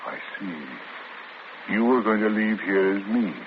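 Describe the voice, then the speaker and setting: Patient prison priest, lowish voice; 1940s radio play, phone-thin sound with static. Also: male voice, animated. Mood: pleading. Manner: measured, pleading, gentle. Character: calm gentle male prison priest, patient. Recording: tinny old radio broadcast with static.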